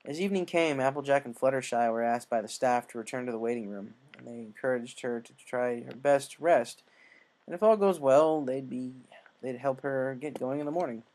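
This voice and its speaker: Man reading a story, mid-range pitch. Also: fairly quick, a little mellow, low-quality recording. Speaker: story reader. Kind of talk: scripted narration of fan fiction.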